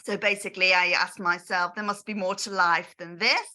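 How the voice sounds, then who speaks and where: A woman's voice: on the low side, steady tone, moderate pace, bright, forceful tone. A middle-aged woman with a northern English accent from near Manchester, hosting an online session over a video call in a chatty, rambling way. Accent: northern English, Manchester area. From Austria, grew up in England. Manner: chatty, friendly, rambling asides. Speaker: host of online product session.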